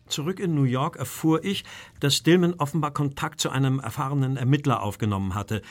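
An earnest gentleman of formal bearing played by a male actor, telling his story in a mid-pitched man's voice. He is deferential and anxious, in the measured style of a radio play. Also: close to an everyday voice. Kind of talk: German audio drama acting and narration.

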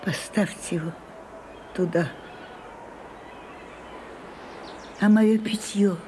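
An older woman playing a proud, weary old French stage actress in a radio drama, declaiming broodingly and dropping into breathy whispers. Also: medium pitch, steady tone, a little slow, warm tone, a little hiss or hum, natural voice. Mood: imperious, dreamy. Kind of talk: theatrical radio drama acting.

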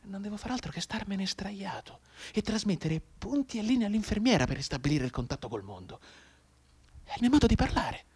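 A wounded man confined to his bed, speaking his thoughts in a dramatic radio monologue. His voice is a little high and rough-edged, husky throughout. He shifts between hushed brooding and anguished, urgent pleading. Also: big pitch swings, brisk, heavily acted. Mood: wistful, brooding, then desperate, urgent. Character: mute, bedridden wounded man desperately tapping out Morse code.

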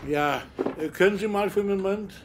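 A man's voice: sits high, a little fast, noisy background. An actor playing a brusque German engineering professor who dictates a statement to his secretary. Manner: curt, dictating.

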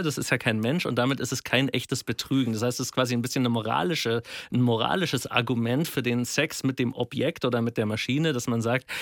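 A man's voice: mid-range voice, a bit bright, no room sound, clean studio sound. A man in a conversational discussion, explaining and rephrasing points as they form.

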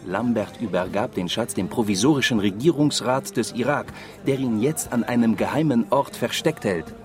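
An actor plays a sardonic male colleague in a radio drama. His voice sits at a middle pitch, and he drops French phrases into his German. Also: moderate pace, over music, close to an everyday voice. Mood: sardonic reading. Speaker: supporting actor.

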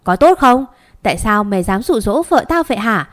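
A woman voicing a stern, angry wealthy husband who shouts as he interrogates. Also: mid-range voice, fairly quick, natural voice. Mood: furious.

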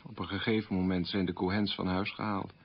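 A man's voice: low voice, level tone, brisk, slight background noise. An actor playing a troubled middle-aged man who candidly recalls his wartime boyhood in a plain, anecdotal radio play monologue. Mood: candid, self-reproaching. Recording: muffled old radio recording.

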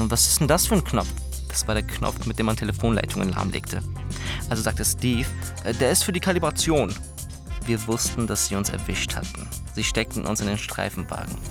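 A man's voice: lowish voice, creaky. A man voicing a hacker who wryly recounts an anecdote and acts out the quotes, in a German radio documentary. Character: male hacker recounting a police stop, wry storyteller. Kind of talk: dramatised first-person narration in a radio documentary.

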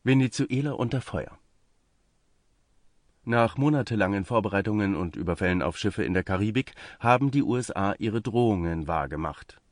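A man's voice: on the low side, lively intonation, normal speed, no room sound, clean studio sound. A man reading out a scripted magazine report as narration. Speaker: reader of a magazine article.

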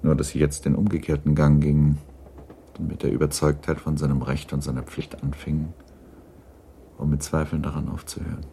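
Man, radio play narrator, scripted literary reading, very low pitch, dark warm tone, measured, faint noise behind. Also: level tone, creaky.

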